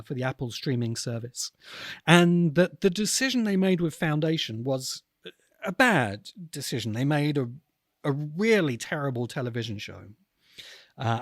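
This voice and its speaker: A man hosting a podcast, giving reflective commentary in deliberate, emphatic phrases with pauses. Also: mid-range voice, dry recording, clean studio sound. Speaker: podcast host. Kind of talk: reflective commentary on books and film.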